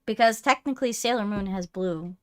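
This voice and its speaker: A woman's voice: medium pitch, fairly quick, fairly bright tone, clear, resonant tone. A woman streamer in casual, playful banter with a friend on a livestream.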